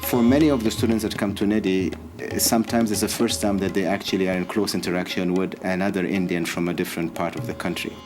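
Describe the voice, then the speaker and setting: A man from Canada, an institute co-founder, speaking in a reflective, measured documentary interview. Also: deep voice, fairly even intonation, music in the background.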